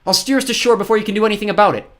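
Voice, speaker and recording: A man's voice: sits high, racing, voice pushed up, lightly acted. A man voicing a brave, earnest, indignant teenage orphan girl in a storybook reading. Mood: determined. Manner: earnest, firm.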